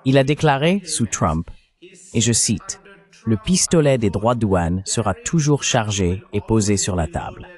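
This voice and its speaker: A man's voice: on the low side, animated, measured pace, a little mellow. A man delivering scripted political and economic commentary in a formal, didactic manner. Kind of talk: scripted analytical narration.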